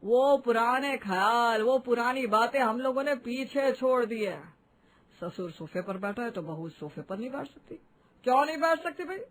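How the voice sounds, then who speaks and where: A woman's voice: on the high side, animated, normal speed. A woman voicing an outspoken, modern-minded family member in a cartoon drama, indignant, challenging and scolding. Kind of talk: animated family drama voice acting in Hindi.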